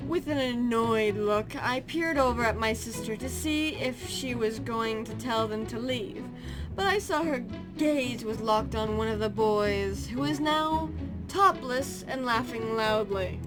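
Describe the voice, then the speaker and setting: A woman narrating a story aloud in an amateur, halting way, correcting misread words as she goes. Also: a little high, music in the background.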